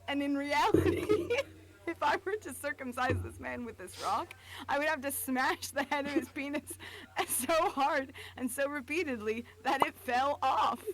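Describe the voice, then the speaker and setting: A woman's voice: high-pitched, measured pace, fairly bright tone, rough recording. A woman co-hosting a casual livestream, chatting in a joking, giggly, profane way. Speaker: stream co-host.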